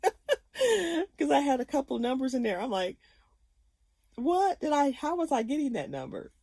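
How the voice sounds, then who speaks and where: A woman's voice: high voice, big pitch swings, unhurried, mellow, no room sound, clean studio sound. A woman in her fifties talking casually to her viewers, chatty and rambling, often laughing. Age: 50s. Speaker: vlogger.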